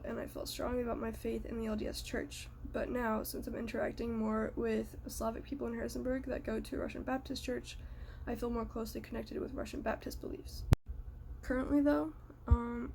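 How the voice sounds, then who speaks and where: A young woman from the United States giving a prepared personal reflection in a measured, earnest way. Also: a little high, creaky, warm tone, a little hiss or hum.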